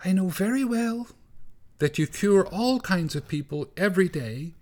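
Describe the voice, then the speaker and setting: A man doing a fretful high mother mouse. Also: expressive, measured pace, darkish tone. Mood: pleading. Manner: pleading, fretful, high and wavering. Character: anxious polite mother field mouse, tiny.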